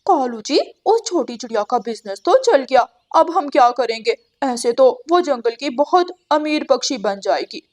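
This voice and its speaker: A woman voicing a greedy, haughty female crow with a sharp, scornful manner in a cartoon story. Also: high voice, lively intonation, moderate pace, few pauses. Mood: scheming. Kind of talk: Hindi animated moral-story dialogue.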